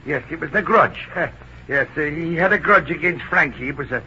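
Blustering Irish drinker, very forceful, evasive, stammering, dull noisy old film soundtrack. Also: male voice, a little high, lively intonation, normal speed, heavily acted. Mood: drunken, rambling. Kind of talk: old film drama acting.

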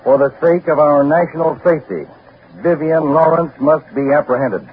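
An actor with an American accent playing a grave counterespionage chief, reading an official bulletin formally and urgently in a vintage radio drama. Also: male voice, on the high side, moderate pace, natural voice. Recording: tinny, noisy old radio broadcast.

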